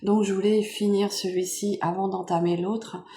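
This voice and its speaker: Hoarse, nasal French woman vlogger. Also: mid-range voice, flat delivery, moderate pace, slight background noise. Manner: soft-spoken, meandering with many fillers. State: hoarse from a cold. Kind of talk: casual chat unboxing makeup gifts. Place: home.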